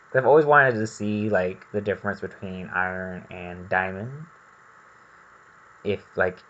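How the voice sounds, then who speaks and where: A man's voice: deep voice, pushed and bright, dull audio. A man doing casual gameplay commentary, rambling and thinking aloud as he goes. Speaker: gaming video host.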